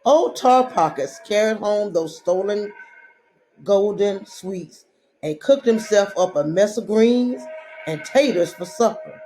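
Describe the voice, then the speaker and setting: A woman reading a children's story aloud in a dramatic, deliberate way, drawing out her phrases. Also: medium pitch, slight background noise, poor audio. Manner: deliberate, expressive, drawn-out phrasing.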